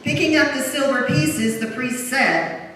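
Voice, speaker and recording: A woman's voice: sits high, lively intonation, a little slow, a bit bright. A woman reading scripture as narrator in a solemn dramatic style in a church. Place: large church during a service. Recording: very echoey church, distant, faint noise.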